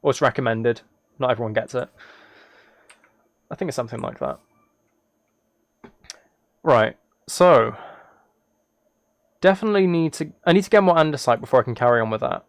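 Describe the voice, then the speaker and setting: A man's voice: medium pitch, expressive, normal speed, creaky, pauses often, no echo. A man chatting casually on a livestream as he plays a game, rambling and thinking aloud. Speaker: live streamer. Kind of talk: casual livestream chat while gaming, reading chat.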